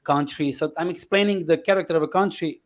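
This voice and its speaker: A man's voice: a little high, fast talker. Slovenian-accented man talking about himself. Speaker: podcast guest, life coach. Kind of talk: conversational interview answers.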